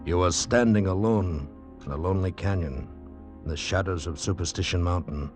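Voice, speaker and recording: A man's voice: low voice, moderate pace, close to an everyday voice. A man playing a dramatic narrator who draws the listener in, in 1940s radio teaser style. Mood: ominous. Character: ominous narrator drawing listener into danger. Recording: old radio broadcast, music behind.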